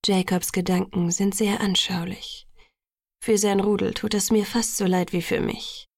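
A patient, soothing young lover in an audiobook, played by a woman. He speaks in a soft murmur, breathy and gravelly at the edges. The sound is close and dry. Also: medium pitch, fairly even intonation, a little slow, dry recording. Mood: light, amused. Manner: hushed, murmured, softly spoken. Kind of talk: audiobook dialogue.

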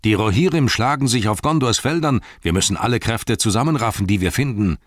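Male narrator as warrior prince, lifted pitch, urgent, noble, rousing, calling out, dry recording. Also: animated, brisk, no echo, natural voice. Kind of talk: fantasy audiobook character reading.

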